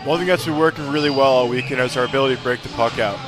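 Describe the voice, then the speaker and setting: A man's voice: mid-range voice, racing, over music. A man working as color commentator, giving live analysis of an ice hockey game.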